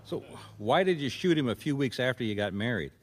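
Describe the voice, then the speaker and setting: An actor playing a dry, world-weary private detective in a radio mystery, speaking in a matter-of-fact way. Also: male voice, medium pitch, expressive, fairly quick, lightly acted. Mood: dry, probing. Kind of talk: radio mystery acting with first-person narration.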